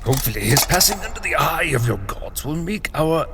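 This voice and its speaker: An actor playing a skeptical, scheming alien lord with an aloof, deliberate manner in an audio drama. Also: male voice, on the high side, sing-song, a bit bright, soft background music. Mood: dismissive, calculating.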